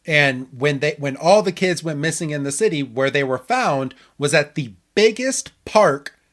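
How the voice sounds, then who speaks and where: A man's voice: fairly high pitch, expressive, moderate pace, fairly bright tone. Stammering man recapping on a podcast. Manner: stammering, repeats words as he goes. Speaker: podcast host. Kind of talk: casual chat, episode recap.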